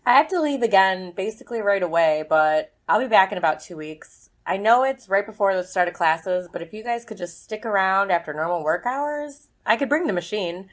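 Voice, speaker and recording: A woman's voice: medium pitch, animated, brisk, few pauses, lightly acted. A woman playing a busy, enthusiastic academic scientist in an audio drama, chatty and candid. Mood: harried, chatty.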